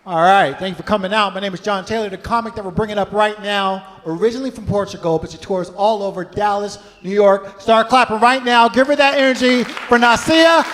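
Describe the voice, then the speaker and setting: A man emceeing a comedy club, loudly hyping the crowd and introducing the next comic. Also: sits high, fairly quick, few pauses, a little hiss or hum. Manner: loud, repetitive crowd hype. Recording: live club recording with long reverb. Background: music and cheering crowd.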